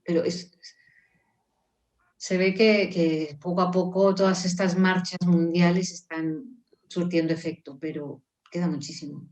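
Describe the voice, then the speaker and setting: A woman around sixty with a lowish voice speaks thoughtfully as an interview guest over a video call. She is earnest and pauses to restart her phrases. She grew up in Mexico. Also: fairly even intonation, darkish tone, rough recording. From Mexico (grew up in Puebla, born in Colombia). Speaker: guest, musician and poet. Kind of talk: reflective conversational interview.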